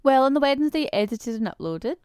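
A woman's voice: high voice, animated, fast, a little mellow, no echo, clean studio sound. A Scottish woman co-hosting a podcast, chatting casually and filling her talk with "like". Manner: chatty, fills speech with "like". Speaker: podcast co-host. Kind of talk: casual chat.

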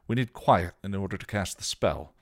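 A man's voice: low-pitched, expressive, normal speed, no echo, clean studio sound. A man voicing a fussy, stammering middle-aged mentor in a read-aloud story. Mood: flustered. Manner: flustered, stammering.

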